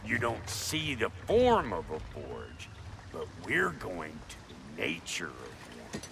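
A man's voice: low-pitched, huge pitch swings, slow, creaky, noisy background, heavily acted. A man playing a brash, crude, folksy dwarf blacksmith with a drawl in a video game. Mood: playful, teasing.

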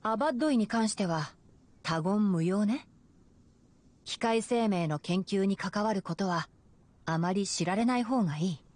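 A woman playing a calm young male fox-eared forest ranger in a Japanese video game, measured and reassuring. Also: medium pitch, lightly acted. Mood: calm, cautioning. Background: game music.

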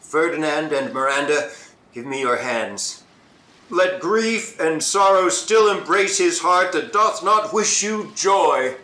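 A man's voice: on the high side, animated, takes it slowly, sharp tone, exaggerated voice. Solemn king in an echoey room man acting. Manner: grave, formal verse delivery. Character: solemn, bewildered, dignified king. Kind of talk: Shakespearean radio drama acting. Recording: roomy, echoing home recording.

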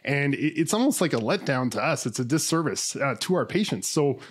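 A man in his thirties, a dentist as a podcast guest, talking earnestly and energetically and repeating words as he builds each point, into a boom-arm studio mic indoors. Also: big pitch swings, fairly quick, breathy edge. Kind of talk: conversational interview. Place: indoor studio, remote call.

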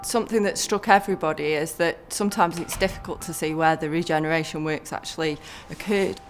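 Low-voiced woman, factory manager, earnest, soft music beneath. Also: fairly even intonation, a little fast. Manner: earnest, occasional restarts. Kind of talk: documentary interview.

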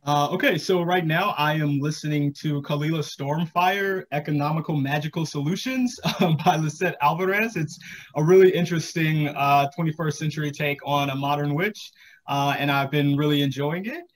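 A man's voice: a little high, normal speed, a little hiss or hum. A man in his thirties talking casually on a panel video call, thoughtful and encouraging as he hedges his advice. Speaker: podcast creator, panel guest. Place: small home room indoors, video call. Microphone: computer video call.

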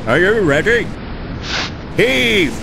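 A man voicing a stern, authoritative middle-aged railway controller, deliberate and scolding, in a storybook reading. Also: high voice, sing-song, creaky, very noisy, pitched above the performer's own voice, full character voice. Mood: commanding. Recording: loud music bed behind.

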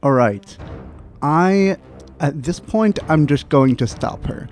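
A young man in his twenties with a mellow voice plays a tabletop game. He talks casually and a little hesitantly, working out his moves aloud. Music runs behind him. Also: a little high, lively intonation, takes it slowly. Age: 20s. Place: small indoor podcast studio. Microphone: close studio microphone with foam cover. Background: background music.

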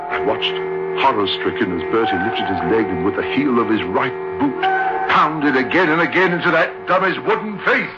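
A male actor plays a theatre manager haunted by what he witnessed, in vintage radio drama. He is grave and halting. The recording is muffled, with music behind him. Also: medium pitch, lightly acted. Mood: horrified. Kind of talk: old-time radio drama narration.

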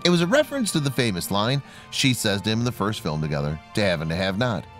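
Deep-voiced man narrating, lively intonation. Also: fast, faint music behind. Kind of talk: scripted trivia narration.

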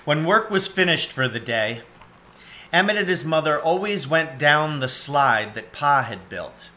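A man's voice: on the high side, lively intonation, a little slow, sharp tone. A man in his forties reading a children's story aloud as narrator. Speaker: storybook reader. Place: cluttered room at home, indoors.

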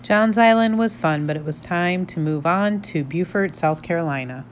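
Woman, voice-over, even read-aloud recap, lowish voice, soft music behind. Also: normal speed. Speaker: vlog narrator. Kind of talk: scripted voice-over narration.

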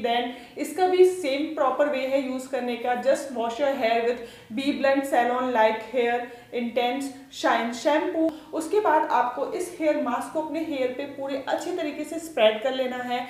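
A woman's voice: high voice, a little mellow. A young woman hosting a beauty channel, chatting through a sponsored hair product review and mixing English into Hindi. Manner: chatty, mixes English into Hindi, reads product names slowly. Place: indoor room with lamp and plants. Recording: echoey room.

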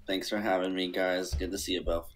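A man's voice: deep voice, little pitch movement, a little fast. A young man joining a podcast as a guest over a video call, answering in a hesitant, thinking-aloud way. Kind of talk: casual podcast chat.

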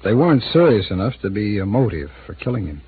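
A man's voice: fairly low pitch, sing-song, quick pace. An easygoing city attorney in his thirties. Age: 30s. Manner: easygoing, slightly evasive, with fillers. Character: smooth-talking male city attorney. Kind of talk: 1950s radio drama acting. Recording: tinny, hissy old radio broadcast.

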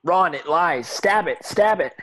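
A man's voice: fairly high pitch, expressive, measured pace, a bit bright. A man reading the part of an earnest teenage boy hero at a table read, urgent and clipped. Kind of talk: amateur screenplay table read.

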